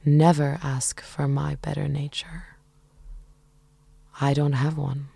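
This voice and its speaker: A woman reads poetry very slowly, in a hushed voice that often drops to a whisper. Her voice is very deep, dark, and gravelly. The sound is close and dry. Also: little pitch movement, no room sound. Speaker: poetry reader. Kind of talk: slow dramatic poetry reading.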